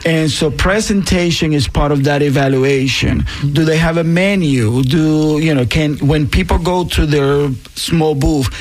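A man's voice: fairly high pitch, measured pace, hardly any pauses. A man with a Puerto Rican accent, explaining his organization's program in a radio interview, enthusiastic and full of fillers. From Puerto Rico. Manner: enthusiastic, explains with many fillers and self-corrections. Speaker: program director.

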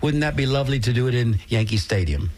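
A man's voice: mid-range voice, expressive, fast, darkish tone. A man in his sixties co-hosting a community radio show, chatty and playful, with bursts of theatrical cheering. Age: 60s. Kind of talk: casual radio chat.

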